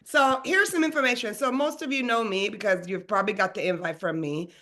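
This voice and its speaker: A woman's voice: mid-range voice, a little fast, a bit bright. A woman from Sweden, a realtor and business coach, hosting a webinar over a video call in a casual, upbeat, chatty way. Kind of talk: presenting a webinar.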